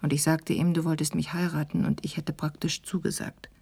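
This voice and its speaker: A woman's voice: sits low, little pitch movement, a little fast, creaky, a little mellow, slightly rough, exaggerated voice. An actress playing a candid, reflective woman reading out her confessional letter to a lover in a radio play. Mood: earnest, reflective. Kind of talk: letter read in radio drama.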